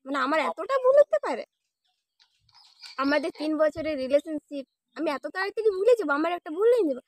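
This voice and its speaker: A woman's voice: high-pitched, lively intonation, fast talker, a little mellow, no room sound. A young woman playing a hurt, insistent girlfriend in a prank phone call, pleading and accusing her boyfriend. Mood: indignant, hurt. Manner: plaintive, accusing, persistent questioning. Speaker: prank caller.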